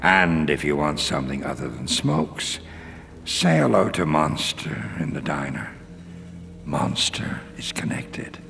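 A man playing a furtive prison informant in a video game, peddling tips in a gravelly, half-whispered, sly voice. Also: very deep voice, expressive, takes it slowly, heavily acted.

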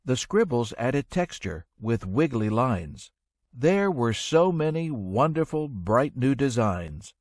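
A man narrating a rhyming children's story in an expressive, measured storytelling manner. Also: medium pitch, dry recording, studio quality.

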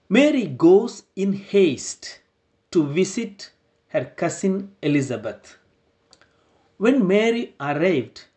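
Man, young priest, slow measured sermon, rhetorical questions. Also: on the high side, a little mellow.

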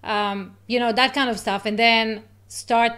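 A woman's voice: fairly high pitch, fairly even intonation, a bit bright, clean tone. A woman in her thirties whose first language is not English, hosting a live interview over a video call, asking questions conversationally. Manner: conversational, quick asides to viewers. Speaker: host. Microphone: video call webcam.

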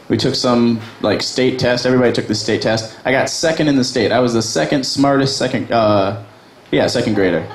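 Young male stand-up, Virginia, crude and self-deprecating, echoey bar room. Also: medium pitch. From Virginia, USA. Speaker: stand-up comic. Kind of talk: open mic stand-up set. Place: small bar open mic stage. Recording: echoey bar with background noise.

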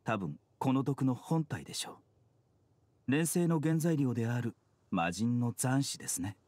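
A man playing a gentle, scholarly young physician in an anime game, speaking politely, calmly and in measured phrases. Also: mid-range voice, dry recording. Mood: composed. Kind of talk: Japanese anime game voice acting. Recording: music behind the voice. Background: game music.

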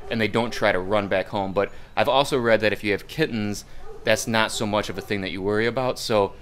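A man's voice: on the low side, a little fast. A man in his thirties talking casually to a phone camera at arm's length, vlogging from a wooden barn. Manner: relaxed, chatty, filler-laden. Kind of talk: casual vlog narration. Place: inside a wooden barn shed. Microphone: phone held at arm's length.

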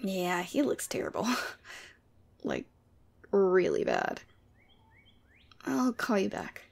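Demon girlfriend played by a woman, ASMR audio drama: sweet but teasing, casual and playfully exasperated, very creaky delivery. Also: medium pitch, huge pitch swings, slow pace, darkish tone, full character voice. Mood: amused, gossiping.

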